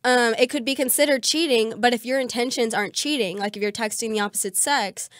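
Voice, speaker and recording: A young woman with a slightly high but raspy voice, hoarse from getting over a cold. She chats casually, laughs easily and fills her talk with "um" and "like". The sound is dry and close. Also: a little fast, dry recording. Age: young adult. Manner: giggly, many fillers. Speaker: podcast co-host. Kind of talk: casual chat.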